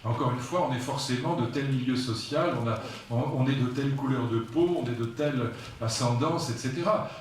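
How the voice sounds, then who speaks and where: Man, sixties, lecturer, emphatic and digressive, mid-pitched voice, faint background noise. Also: brisk. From France. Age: 60s. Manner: digressive and emphatic, with frequent asides to the audience. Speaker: lecturer at a philosophy conference. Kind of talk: public philosophy lecture. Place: lecture hall. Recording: very echoey hall, voice picked up from far off.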